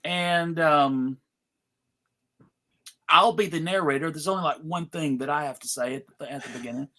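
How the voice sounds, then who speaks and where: A host casually running a live event, announcing in a theatrical, mock-serious way with halting pauses. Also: mid-range voice, bright, forceful tone. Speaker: panel host and narrator.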